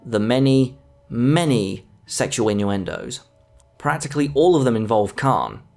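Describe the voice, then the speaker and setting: A man's voice: mid-range voice, sing-song, unhurried, creaky, darkish tone, soft background music. A man narrating a scripted film review as the host of a video essay.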